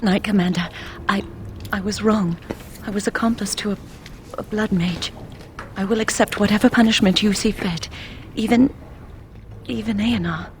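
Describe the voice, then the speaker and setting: A woman's voice: mid-range voice, steady tone, normal speed, long pauses, a little hiss or hum, natural voice. A woman playing a devout, vulnerable young initiate in a fantasy video game, earnest and emotional. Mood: contrite. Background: music.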